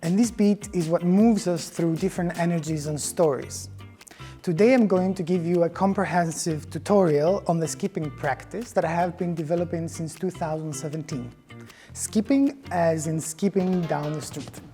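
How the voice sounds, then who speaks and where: A man's voice: a little high, moderate pace, dark tone, faint music behind. A man with a Greek accent, a choreographer giving scripted tutorial instruction. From Greece.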